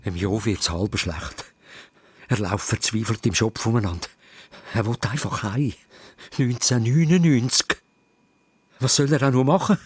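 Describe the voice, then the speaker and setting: A man's voice: medium pitch, normal speed, pauses often, slightly rough. A man with a Swiss German accent narrating a children's story dramatically, in short, suspenseful phrases. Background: music.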